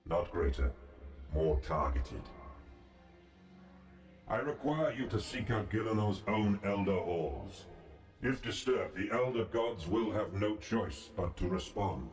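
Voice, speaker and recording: An actor playing a calm, solemn ancient god in a fantasy game, speaking in a formal, measured and imperious way. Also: male voice, fairly low pitch. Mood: grave. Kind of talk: scripted fantasy game dialogue. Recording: roomy, distant, music behind.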